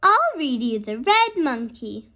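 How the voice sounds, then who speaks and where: Woman as a kindly high-voiced mother pig. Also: very animated, slow pace, pushed and bright, heavily acted. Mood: patient. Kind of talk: children's storybook read-aloud.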